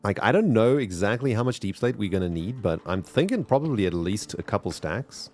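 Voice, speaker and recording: A man's voice: on the low side, animated, fairly quick, a little mellow. A man with a South African accent chatting casually to his viewers while streaming a game, rambling and addressing chat directly. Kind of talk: casual gameplay commentary.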